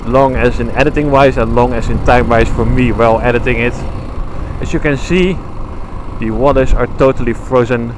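A man's voice: mid-range voice, steady tone, moderate pace. A man with a Dutch accent talking casually while riding a motorcycle, rambling and correcting himself as he goes, recorded on a helmet camera mic. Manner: rambling, chatty, self-correcting. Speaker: motovlogger. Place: outdoors on a motorcycle on country roads. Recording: engine and wind noise under voice. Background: motorcycle engine and wind.

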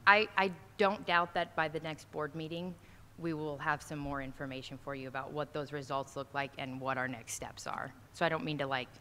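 Low-voiced woman in an echoey auditorium. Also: fairly even intonation, a little fast, bright, forceful tone. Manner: casual, many fillers like um and like. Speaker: school administrator reporting to the board. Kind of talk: answering questions at a school board meeting. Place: large school auditorium stage. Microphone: standing podium mic from a far camera. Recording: echoey hall, faint noise.

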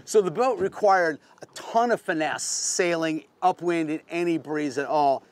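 A man's voice: a little high, a little slow. A middle-aged American man giving a casual, unscripted boat tour in a plain-spoken, folksy, explanatory way, like a veteran sailor.

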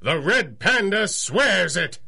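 An actor playing an earnest, patient radio-show star, coaxing and explaining in comic old-time radio style. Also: male voice, sits high, sing-song, slow pace, vocal fry, exaggerated voice. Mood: heroic resolve.

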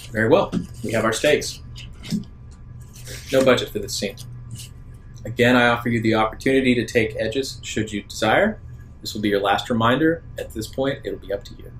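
A man working as a game master, improvising narration for a tabletop game in a halting, deliberate way. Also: fairly bright tone, soft background music, rough recording. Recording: rumbly background noise.

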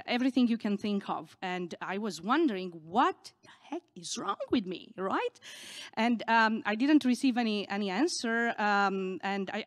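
A middle-aged woman, a native Romanian speaker, giving a candid, earnest personal story from a conference stage into a podium microphone, a little halting. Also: fairly high pitch, fairly bright tone, dry recording, studio quality.

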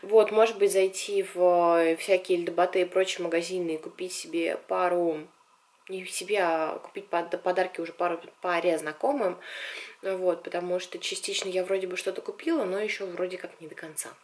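A woman in her twenties with a slightly husky, worn voice, chatting casually to the camera as a vlogger. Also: fairly low pitch, flat delivery, fairly quick, darkish tone. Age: 20s. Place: indoors, small room at home.

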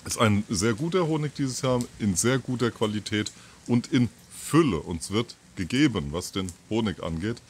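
Male video host, fifties, lowish voice, halting and informal delivery, recorded outdoors with faint background noise. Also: animated. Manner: halting, thinking aloud with pauses and repeats. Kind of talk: informal monologue to camera. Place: outdoors on a terrace or balcony by trees.

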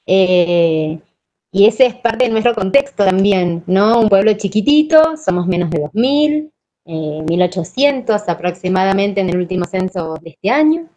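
A woman's voice: medium pitch, lively intonation, measured pace, dark tone. A woman in her thirties with an Argentine accent from Santiago del Estero, a teacher talking informally on a video call. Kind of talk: informal online presentation. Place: wood-panelled room at home. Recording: dull video-call audio.

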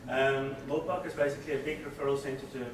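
South African man in his forties, lecturing informally at a lectern, heard from across a booming room with a steady background hiss. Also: fast. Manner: informal, hesitant, frequent ums and restarts. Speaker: trauma surgeon giving a keynote. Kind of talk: conference lecture. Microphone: lectern mic picked up by a distant camera. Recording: echoey room, far camera, steady background noise.

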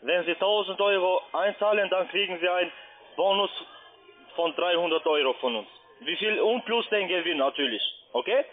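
A man with a foreign accent speaking non-native German as a call centre agent, insistent, halting and repeating himself in an unscripted sales pitch. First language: not German. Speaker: call centre agent posing as customer adviser. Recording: tinny band-limited phone line.

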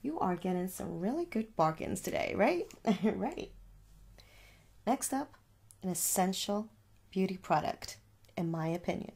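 A young woman speaking softly in an ASMR shop roleplay, coaxing the listener with little questions in a husky voice. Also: mid-range voice, animated, measured pace, long pauses. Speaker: ASMR performer playing a makeup shop seller. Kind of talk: soft-spoken ASMR roleplay. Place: small room at home.

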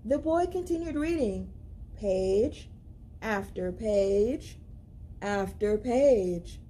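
A young woman reading a children's picture book aloud as a library storyteller, with expressive, deliberate, dramatic emphasis. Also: medium pitch, a little hiss or hum. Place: indoors, library among bookshelves.

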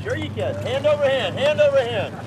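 A man captaining a fishing boat coaches his anglers in quick, brisk directions. His voice sits high and his delivery moves fast. Heavy boat engine and wind noise surround him out on open water. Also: expressive. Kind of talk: instructive on-location talk.

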